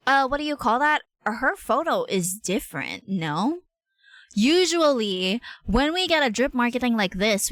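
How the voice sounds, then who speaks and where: A woman with a Filipino accent chatting casually to her livestream viewers in an excitable, expressive way. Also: medium pitch, dry recording. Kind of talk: casual chat with stream viewers. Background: faint music.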